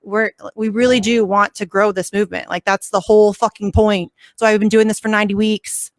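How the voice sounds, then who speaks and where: A woman hosting an activist livestream, talking casually and emphatically with lots of fillers, her voice going hoarse and cracking. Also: medium pitch, flat delivery, brisk, no echo. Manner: emphatic, filler-heavy, passionate. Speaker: vigil livestream host.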